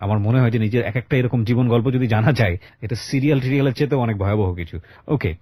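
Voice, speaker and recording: A man with a Bangladeshi Bengali accent and a husky voice, hosting a conversational radio interview and adding commentary. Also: medium pitch, very fast, no echo, muffled recording. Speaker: radio show host.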